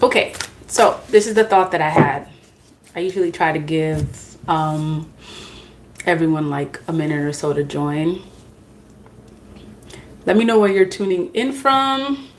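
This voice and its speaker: A woman who speaks English as a second language, hosting a tarot live stream from home. She talks in an unhurried, easygoing way, chatting with viewers and laughing at her own slips. A faint hiss sits under her voice. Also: sits low. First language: not English. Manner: chatty, laughs at herself, greets viewers by name. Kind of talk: casual live-stream chat.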